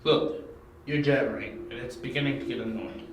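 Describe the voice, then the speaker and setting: A man's voice: medium pitch, fairly even intonation, normal speed, close to an everyday voice. Guy doing a smug curt villain, taunting, homemade audio drama, really echoey with some hiss. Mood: dismissive. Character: smug male henchman villain, menacing and dismissive. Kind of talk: amateur audio drama acting. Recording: very echoey, noisy amateur recording.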